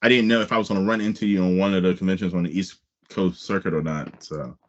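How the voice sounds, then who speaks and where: A man's voice: low-pitched, steady tone, quick pace, highs cut off. An American man hosting a casual interview, speaking with enthusiasm and repeating phrases for emphasis. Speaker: podcast host interviewer.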